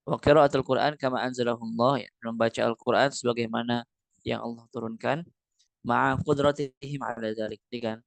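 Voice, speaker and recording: A man's voice: mid-range voice, gravelly, no room sound. A man teaching a Quran recitation class online, reading Arabic lines from a book and then explaining them, with many fillers and rhetorical questions.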